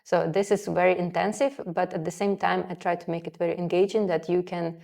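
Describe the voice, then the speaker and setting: A woman from Russia speaks English as a second language in a relaxed interview, recorded in her sewing studio. Her voice sits on the low side, and she talks chattily, often acting out her own past thoughts. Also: fairly quick, gravelly. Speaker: guest, sewing school founder. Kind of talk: casual interview conversation.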